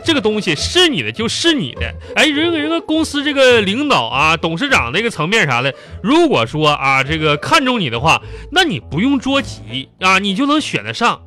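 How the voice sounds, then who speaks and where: A man's voice: high voice, huge pitch swings, moderate pace, few pauses, bright, forceful tone, soft background music, exaggerated voice. A man playing a long-suffering, whiny middle-aged husband in a radio comedy, groaning and pleading with a Northeastern Chinese accent. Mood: pleading, nagging. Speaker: radio comedy host and performer. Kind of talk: live comedy radio drama dialogue.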